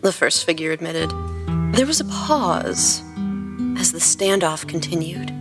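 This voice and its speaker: A woman's voice: lowish voice, expressive, unhurried. A woman co-hosting a podcast and reading fan fiction aloud in a scripted storytelling style. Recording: plucked guitar music behind.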